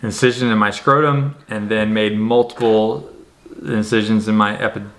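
A man's voice: on the low side, moderate pace, slight background noise. Joking young man chatting with his partner. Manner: joking, relaxed. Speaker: vlog guest, partner of host. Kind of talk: casual couple vlog chat.

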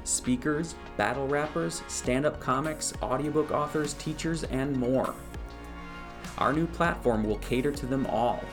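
Podcast host with music behind. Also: male voice, mid-range voice. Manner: restarts and repeats words in questions. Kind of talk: scripted intro then casual interview.

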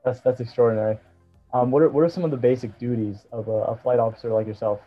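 A man hosting an interview and asking questions politely and in a measured way. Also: mid-range voice, steady tone. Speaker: interview host. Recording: dull lo-fi sound with faint music.

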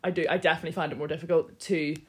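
A young woman chats casually as a co-host. Her voice sits slightly deep, and she talks hesitantly, circling and restarting as she works out what she means. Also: flat delivery, very fast. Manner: hesitant, restarts often, thinking aloud. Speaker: podcast co-host. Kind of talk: casual chat.

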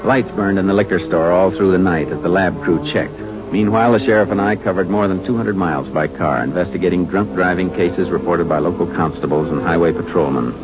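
A man acting a steady, methodical Texas Ranger investigator in a 1950s radio crime drama, terse, businesslike and matter-of-fact. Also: sits low, a little fast, loud music behind the voice, lightly acted. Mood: sober narrating. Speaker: lawman and narrator. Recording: muffled, noisy 1950s radio recording.

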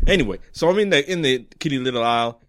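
A man's voice: mid-range voice, lively intonation, quick pace, a bit bright, lightly acted. A man playing a shifty male street informant who hoards cats, rambling in wheedling street talk in an improvised comedy scene.

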